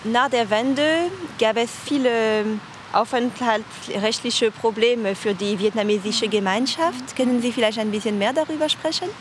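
A woman's voice: on the high side, normal speed, hardly any pauses. A woman reporter asking questions in a field interview. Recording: street and vehicle noise behind.

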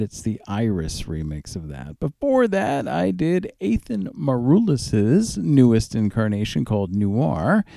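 Male music-show host: gravelly, relaxed, off-the-cuff back-announcing in a dry studio sound. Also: mid-range voice, no room sound. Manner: conversational, unscripted. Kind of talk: radio back-announcing and listener information.

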